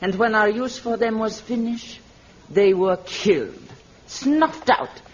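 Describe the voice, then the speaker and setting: A woman's voice: fairly high pitch, slow, a little hiss or hum. Imperious warrior queen, muffled old recording. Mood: cold, menacing. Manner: commanding, haughty, formal pronouncements. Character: imperious, merciless warrior queen of women's fortress. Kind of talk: vintage radio adventure serial acting. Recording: muffled old radio recording, background din.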